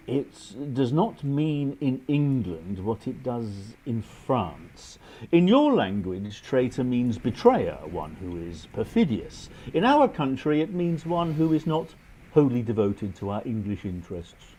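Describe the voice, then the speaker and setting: A man acting a worldly medieval English aristocrat in a radio play. His urbane, sardonic lines rise and fall with extremely animated intonation. He stays polite and cynical in a theatrical style. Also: medium pitch, unhurried. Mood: diplomatic.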